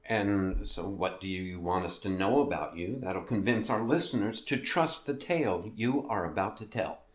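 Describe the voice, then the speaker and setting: A man's voice: on the low side, moderate pace, close to an everyday voice. A man playing a quirky, wisecracking host of a weird-tales podcast drama, with a folksy, theatrical delivery. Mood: teasing. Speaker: show host. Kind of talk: scripted podcast drama in a radio-show format. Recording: roomy, echoing voice recording.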